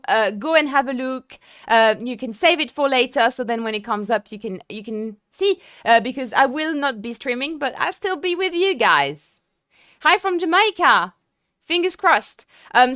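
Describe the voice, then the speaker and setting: A young woman streaming her sim racing, chatting away with viewers in an excitable, rambling way. Her voice sits a little high, and the close mic sounds dry and tight with no room around it. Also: no room sound. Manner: chatty, excitable, lots of fillers and restarts. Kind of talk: casual live-stream chat.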